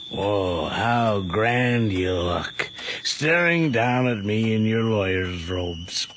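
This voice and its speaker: An actor playing a bitter, tipsy servant muttering sardonically to himself in a radio horror drama. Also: male voice, on the low side, animated, drawn-out words, fairly bright tone, exaggerated voice. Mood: bitter, mocking. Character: bitter tipsy servant toasting and cursing his dead master. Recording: faintly noisy old radio drama recording.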